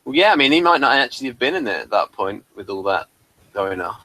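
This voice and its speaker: A man's voice: mid-range voice, big pitch swings, fast talker, sharp tone, dry recording, low-quality recording. A man chatting casually as a co-host on a review show. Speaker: podcast co-host reviewing a TV episode. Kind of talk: casual chat.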